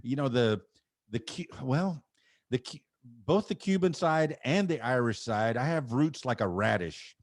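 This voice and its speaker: A man's voice: medium pitch, expressive, measured pace. A man in his fifties from Miami, a podcast guest who talks in a thoughtful, wry way with self-mocking jokes. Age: 50s. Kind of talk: casual interview chat.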